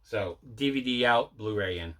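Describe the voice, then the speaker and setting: Male vlog host, bright forceful voice, relaxed rambling unboxing chat with repeats. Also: on the low side, moderate pace, a little hiss or hum. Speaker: video host showing mail and movie collection.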